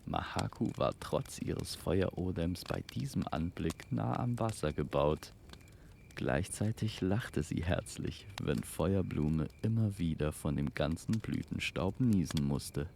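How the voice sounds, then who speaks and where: A man narrating a scripted fantasy story in a measured, lyrical storytelling manner. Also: low voice, lively intonation, gravelly, darkish tone, slight background noise.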